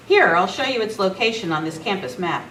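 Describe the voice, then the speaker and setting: A woman's voice: medium pitch, lively intonation, fairly quick, fairly bright tone, natural voice. A woman playing a polite, concerned university president in an amateur radio script reading, with formal manners. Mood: gracious, businesslike. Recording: roomy, distant camera mic, faint noise.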